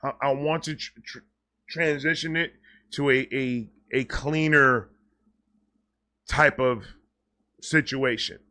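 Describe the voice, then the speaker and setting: A man talking casually and off the cuff to his viewers, excitable and rambling, often repeating and stammering over words, with slangy, sweary phrasing. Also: mid-range voice, takes it slowly, pauses often. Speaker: online video creator and host. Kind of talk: casual unscripted vlog talk to viewers.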